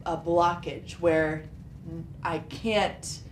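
Lower-pitched woman in an echoey room. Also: fairly even intonation, drawn-out words. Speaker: patient, yoga teacher. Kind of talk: casual, detailed account of body complaints. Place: small carpeted treatment room. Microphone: boom microphone on a stand. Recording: roomy sound with background hiss.

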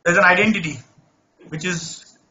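High, bright man teaching math. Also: moderate pace, lo-fi sound, a little hiss or hum. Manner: coaxing, repeats checks like "right?". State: voice not normal, says he lost his voice. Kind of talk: live online class, problem solving.

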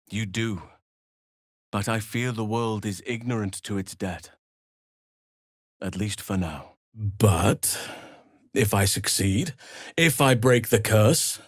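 An actor playing a gentle, solemn, burly elf druid in a fantasy video game, speaking in an earnest, measured and formal way. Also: male voice, medium pitch, dry recording, clean studio sound, close to an everyday voice.

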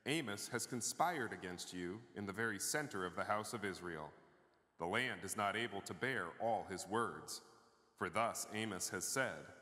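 A middle-aged man reads scripture in a measured, clear voice, bright and forceful. He is heard from a distant camera. The reading carries a long reverb from the church sanctuary. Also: lowish voice. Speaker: pastor. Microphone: far camera.

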